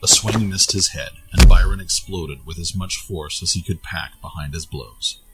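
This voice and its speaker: A man's voice: deep voice, normal speed, fairly bright tone, faint music behind, poor audio. A man reading scripted fantasy story narration as the narrator of an audio tale.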